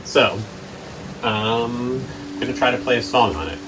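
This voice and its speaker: A man's voice: medium pitch, expressive, a little slow, noisy background. A man leading a children's storytime, chatting and asking the kids questions while he draws and explains things. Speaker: author and illustrator leading a kids' storytime. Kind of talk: playful children's storytime talk.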